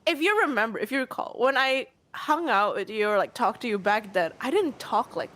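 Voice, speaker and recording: Animated high-voiced young woman chatting. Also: fairly quick. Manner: animated storytelling, lots of "like", frequent laughter. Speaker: streamer. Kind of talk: casual chat while gaming.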